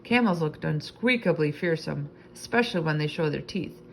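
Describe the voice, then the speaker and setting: A woman reading a children's book aloud in an animated, expressive storytelling manner. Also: deep voice, moderate pace, creaky, slight background noise.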